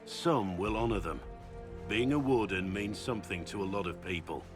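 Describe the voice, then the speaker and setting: An actor playing a gruff, seasoned warrior recruiter in a fantasy game, speaking in a blunt, earnest, plain-spoken way. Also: male voice, lowish voice, animated, normal speed, lightly acted. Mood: earnest, resolute. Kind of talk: dramatic fantasy game dialogue. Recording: background music under the voice.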